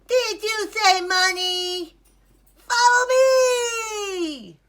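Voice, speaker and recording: A woman voicing an excitable, money-loving duck in a children's storybook, with shrieking exclamations. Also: very high voice, drawn-out words, voice pushed up, exaggerated voice. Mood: gleeful, boastful. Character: excitable money-loving cartoon duck, indignant about sharing.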